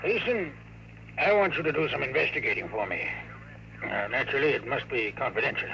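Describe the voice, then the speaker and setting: An actor playing an ailing older big-city mayor with an American accent and a low, hoarse voice, confiding and blunt, in a 1950s radio drama. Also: male voice, expressive, brisk. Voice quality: low hoarse voice, throat-clearing. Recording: noisy, muffled vintage radio recording.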